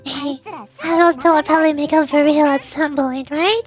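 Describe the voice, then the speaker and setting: A woman's voice: sits high, steady tone, moderate pace, soft background music, natural voice. A woman voicing a small, chatty fairy companion from a game, an anxious peacemaker. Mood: hopeful.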